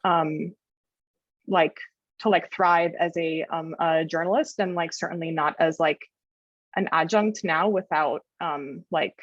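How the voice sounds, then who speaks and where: A woman's voice: deep voice, fairly even intonation, a little slow, dry recording. Woman stuttering through dry, muffled audio. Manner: stutters, many fillers like "um" and "like". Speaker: journalist and adjunct. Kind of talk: sharing experience at a panel.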